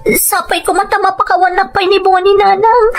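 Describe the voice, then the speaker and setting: A woman's voice: little pitch movement, normal speed. A woman with a Filipino accent playing a remorseful, desperately pleading wife in a radio drama, her voice tearful. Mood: remorseful begging. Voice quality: high, tearful voice. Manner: pleading, apologetic. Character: remorseful wife who gambled away her husband's money, pleading desperately. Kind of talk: Ilocano radio drama acting. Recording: music bed under the voice.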